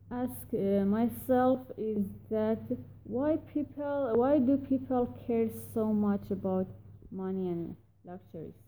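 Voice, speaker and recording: A woman speaks slowly with a soft, mellow tone. She picks her words carefully and pauses often as she works through her thoughts. She sounds reflective and keeps asking questions. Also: mid-range voice, poor audio. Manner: halting and careful, pausing often to find words. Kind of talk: recorded spoken reply about a story.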